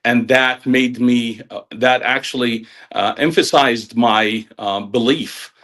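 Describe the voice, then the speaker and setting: A man with an Arabic accent, a native Arabic speaker, talking as a guest on a podcast. His voice sits at an ordinary pitch for a man, and he speaks earnestly and humbly, with frequent hesitations. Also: steady tone, fairly bright tone. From Jordan. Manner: earnest and humble, halting with many ums. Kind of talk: casual interview talk.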